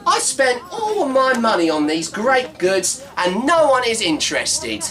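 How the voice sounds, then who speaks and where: A man's voice: very high voice, wildly swooping pitch, measured pace, sharp tone, full character voice. A man playing a brash male Cockney market trader with pushy sales patter who keeps saying "of course". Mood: deflated. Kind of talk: comedy sketch character monologue.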